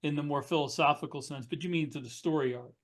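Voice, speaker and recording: A man's voice: on the high side, fast talker. A man co-hosting a podcast, talking in a casual panel discussion. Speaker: podcast co-host.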